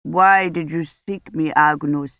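A woman plays a medieval priest from a novel, calm and grave. He speaks slowly and gently, in formal archaic phrases. The sound is dry and slightly muffled. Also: low-pitched, dry recording. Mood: grave, questioning. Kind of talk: audiobook character acting.

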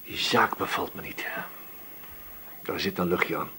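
An actor playing a shrewd, sceptical police inspector in a Dutch radio drama, questioning briskly and with authority. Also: male voice, sits low, pushed and bright, a little hiss or hum. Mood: pensive, suspicious.

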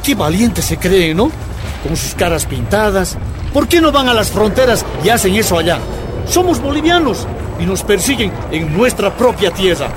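A man's voice: high-pitched, big pitch swings, moderate pace, over music. A man playing a scornful, defiant protest marcher in a radio drama.

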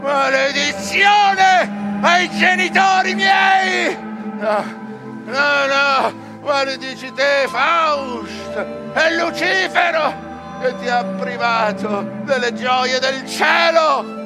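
A damned scholar facing hell in a verse tragedy. His pitch is extremely high and his delivery very drawn out, declaimed with anguish and terror over prominent music. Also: very slow, exaggerated voice. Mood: cursing anguish. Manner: declamatory, anguished cries and pleading. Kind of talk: theatrical verse tragedy acting for radio. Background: dramatic music.

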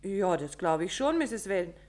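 An actress voices the quick-witted wife of a detective in a 1950s radio play. She sounds inquisitive, with a lightly ironic streak. Her voice sits at a middle pitch. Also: big pitch swings, a little mellow, natural voice. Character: quick-witted young wife, curious and dry. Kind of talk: 1950s radio drama acting.

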